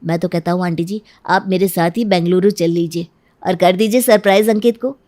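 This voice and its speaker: A woman's voice: on the low side, fairly even intonation, brisk, a little mellow, lightly acted. A woman voicing a friendly young man, a family friend in a cartoon story, polite and persuasive. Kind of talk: Hindi cartoon story acting.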